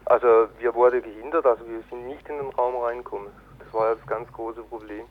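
A man interviewed as an activist, explaining earnestly and haltingly over a noisy, tinny phone-like line. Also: medium pitch, fairly even intonation. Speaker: political activist being interviewed. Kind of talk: spontaneous spoken interview.

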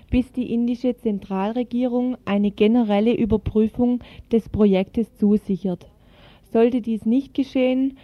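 A woman's voice: fairly high pitch, no echo. A woman reading a scripted radio feature in the manner of a news report. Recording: muffled, band-limited radio recording.

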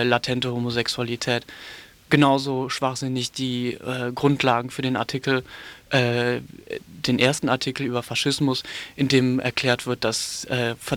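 Halting, polemical man with a flat delivery. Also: medium pitch. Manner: halting, with frequent hesitations; polemical, sardonic. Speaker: radio presenter giving commentary. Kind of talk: critical radio commentary, partly scripted.

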